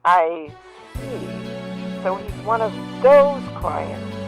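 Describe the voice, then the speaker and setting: A woman acts as a seasoned female lawyer in an animal audio drama, calm and reassuring. Her pitch is middling, and soft music plays underneath. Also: extremely slow. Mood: reassuring, advising. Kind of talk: fan audio drama acting.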